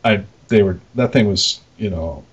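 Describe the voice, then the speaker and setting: Low-voiced man rambling casually, dull recording. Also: normal speed. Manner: rambling, informal, mildly crude slang. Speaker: podcast co-host, arcade game operator. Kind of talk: casual unscripted shop talk.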